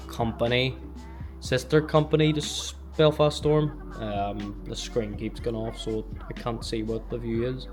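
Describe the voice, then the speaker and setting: A man with a Northern Irish accent giving a chatty, rambling vlog tour of an office, filmed on a handheld gimbal camera. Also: mid-range voice. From Northern Ireland. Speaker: gaming vlogger. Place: indoor office space.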